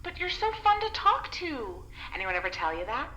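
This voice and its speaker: A woman's voice: on the high side, big pitch swings, moderate pace, pushed and bright, reverberant, slight background noise, poor audio. A woman playing a cheeky young female prisoner in a naturalistic audio drama, prying and teasing with dry, sardonic wit.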